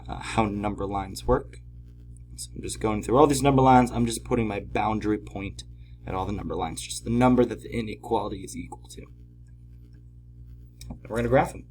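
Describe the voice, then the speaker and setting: A man teaching in an informal tutorial style, patiently explaining step by step, his voice slightly hoarse from a cold. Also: lowish voice, moderate pace, pauses often, a little mellow, slight background noise. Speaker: math teacher. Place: at home, at a desk.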